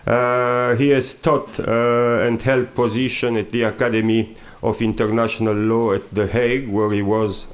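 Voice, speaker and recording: A man with a fairly low voice moderates an academic symposium and introduces a guest lecturer. His delivery is formal but halting, with frequent fillers between phrases.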